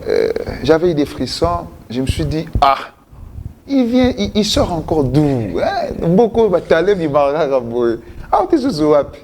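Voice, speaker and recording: Congolese male host, excitable, leaps in pitch, faint hum behind him. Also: measured pace. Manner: animated, mixes French and Lingala. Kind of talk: live call-in interview.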